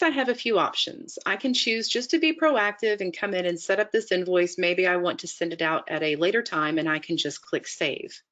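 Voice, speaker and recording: A woman narrating an instructional software demonstration in a methodical, explanatory way, step by step. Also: lowish voice. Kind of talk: instructional screen-share walkthrough narration.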